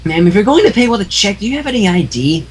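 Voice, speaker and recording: A man's voice: sits high, very animated, quick pace, slightly rough, pitched above the performer's own voice, exaggerated voice. A man voicing a plain-spoken store cashier pony in a cartoon fanfiction, matter-of-fact with customers. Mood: annoyed. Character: fed-up but friendly young store cashier pony. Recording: noisy home livestream microphone.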